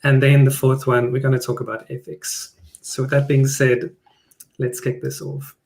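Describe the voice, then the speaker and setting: A man's voice: mid-range voice, little pitch movement, normal speed, darkish tone. A man, an Afrikaans speaker, presenting a live online design talk in a plain, conversational way. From South Africa. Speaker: product designer presenting a webinar.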